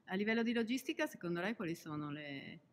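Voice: mid-range voice, lively intonation, fast. Off-camera interviewer with short questions. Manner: brief scripted questions.

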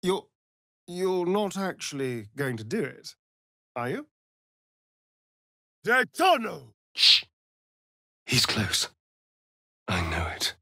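Vain, flirtatious British vampire rogue for a fantasy game: slow, arch delivery with big swoops in pitch. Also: male voice, wildly swooping pitch, sharp tone, dry recording, studio quality, full character voice. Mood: hushed, alarmed. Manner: arch, theatrical, drawling, mocking. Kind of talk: theatrical video game character acting.

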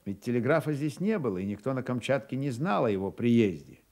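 A man narrating a literary radio play in an expressive, theatrical storytelling manner. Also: medium pitch, a little fast.

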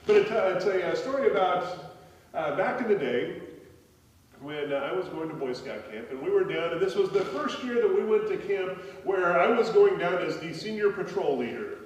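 A pastor in his forties preaches from the pulpit in a relaxed, storytelling way. His voice sits a little high for a man. He is heard from a distance, with a long echo around the church. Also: moderate pace, muffled recording. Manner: conversational storytelling with gestures, frequent fillers. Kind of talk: sermon. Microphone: pulpit microphone, filmed by a far camera. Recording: echoey church hall, voice from a distance.

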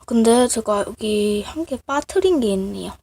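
A woman with a cold that makes her voice sound off, casually showing handmade crafts in a halting, apologetic, self-deprecating way. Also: a little high, lively intonation, dark tone, husky, no echo. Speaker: craft video creator. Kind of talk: casual show-and-tell of handmade clay miniatures. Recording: noisy amateur recording with clicks.

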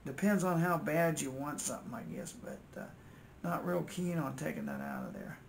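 Older American man, gravelly and creaky. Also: on the high side, normal speed. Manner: folksy, thinking aloud. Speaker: electronics repair hobbyist and video host. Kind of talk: casual muttered narration while working at repairs. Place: indoors at a repair workbench. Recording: steady noise, home-made workbench recording.

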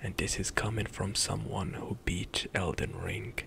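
Young male ASMR gamer, slow gravelly whisper, close-miked. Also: sits low, fairly even intonation, background noise. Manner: soft whispered rambling with light laughs. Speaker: ASMR gaming streamer. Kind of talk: whispered ASMR gameplay commentary. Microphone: close studio mic, headset on.

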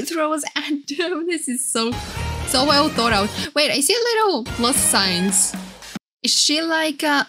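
A woman with a high voice reacts casually as a streamer. She gushes and exclaims at an unhurried pace, with some music behind her. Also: a bit bright. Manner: gushing, exclamatory. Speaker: VTuber streamer. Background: game trailer music.

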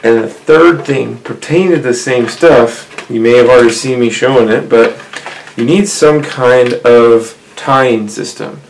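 Casual outdoors host in his thirties, gravelly and mid-pitched, talks to viewers at a desk webcam. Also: measured pace, a little hiss or hum, low-quality recording. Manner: conversational, addresses viewers as guys, frequent "right?". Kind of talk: casual gear tutorial to camera. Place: small indoor room, seated at desk.

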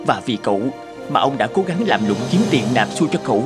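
A man voicing a polite, patient young man who explains things in an animated cartoon. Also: medium pitch, fast talker, over loud music, natural voice. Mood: earnest.

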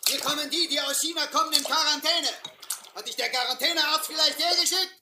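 Brusque, high-voiced island guard played by a woman. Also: brisk, pushed and bright. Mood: threatening. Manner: barking, commanding. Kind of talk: German radio play acting. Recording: roomy recording with clear noise, water.

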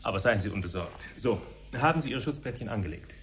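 An actor playing a courteous, formal official who explains things to visitors in a 1970s radio play. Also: male voice, lowish voice, lively intonation, fast, a bit bright. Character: courteous, formal male official guiding visitors through a command centre. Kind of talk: 1970s German radio drama acting. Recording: noisy 1970s radio broadcast recording.

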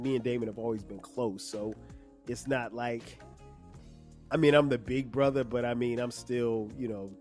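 A man in his fifties from South Carolina, hosting a solo podcast in a relaxed, conversational way with many fillers. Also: medium pitch. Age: 50s. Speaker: podcast host. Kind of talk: casual solo podcast monologue.

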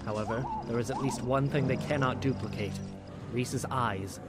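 A man voicing a solemn priestess of an alien order in a comic dub, formal and measured. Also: mid-range voice, close to an everyday voice. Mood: solemn, ominous. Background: music.

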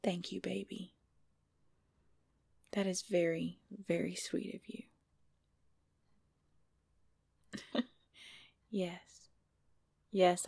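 A woman's voice: on the low side, vocal fry, darkish tone, no echo. Woman acting a jumpy, easily scared girlfriend, dry sound. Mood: tender, sleepy. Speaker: audio roleplay voice actor. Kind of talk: ASMR girlfriend roleplay, intimate acting. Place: dry close recording indoors.

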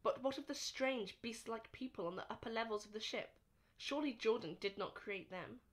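A woman's voice: fairly high pitch, brisk, natural voice. A woman acting a bold, impetuous young man in a radio drama, challenging and dramatic. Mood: questioning.

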